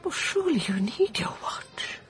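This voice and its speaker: Snooty distressed wife, radio drama, very up and down, music playing behind. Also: female voice, high-pitched, big pitch swings, normal speed. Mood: distraught. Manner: imperious, melodramatic. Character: haughty, anguished German wife of imprisoned Nazi.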